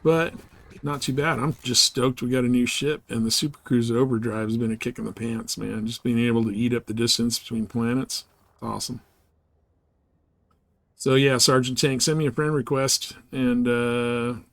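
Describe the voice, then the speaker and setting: A man's voice: medium pitch, no echo. A man chatting casually and informally as he hosts a live game stream, rambling in slangy language.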